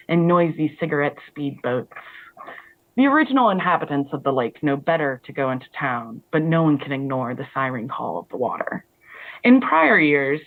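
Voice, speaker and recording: Woman author, low voice, wry measured prose reading, phone-quality audio. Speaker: author reading own work. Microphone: video call. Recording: tinny phone-like line.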